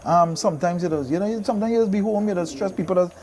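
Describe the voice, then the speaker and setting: A man's voice: high-pitched, quick pace. A man in his fifties with a Trinidadian accent, chatting casually as an interview guest, emphatic and often repeating his phrases. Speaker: interview guest, soca singer and DJ. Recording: steady background noise.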